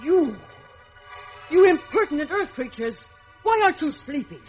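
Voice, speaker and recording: A woman's voice: sits high, lively intonation, moderate pace, muffled recording, faint music behind. An actress playing a haughty, evil sorceress queen in a 1940s children's radio fantasy, imperious and theatrical, drawing out her threats. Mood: haughty, menacing.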